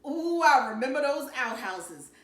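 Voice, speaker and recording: A woman's voice: high voice, sing-song, a little slow, echoey room, pitched above the performer's own voice. A woman reading a storybook as a teasing, chatty father who likes to reminisce. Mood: fond reminiscing. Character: joking, chatty, nostalgic dad in a family story.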